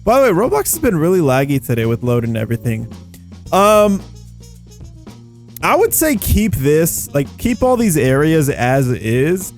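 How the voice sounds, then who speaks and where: An excitable man with a somewhat high-pitched voice hosts a casual gameplay video. He talks in loose slang, rambling and doubling back on phrases as he thinks aloud. Light music plays behind his commentary. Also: normal speed. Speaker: video game YouTuber and host. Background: background music.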